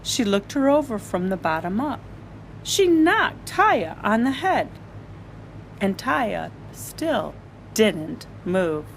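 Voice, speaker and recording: A woman storyteller reading a children's story aloud in an animated, expressive way. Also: medium pitch, slow pace, vocal fry, a little hiss or hum, low-quality recording.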